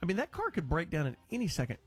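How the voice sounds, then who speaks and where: A man's voice: on the high side, wildly swooping pitch, racing, soft background music. Blunt, teasing male radio host. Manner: blunt, teasing, swears casually. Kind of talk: call-in radio talk.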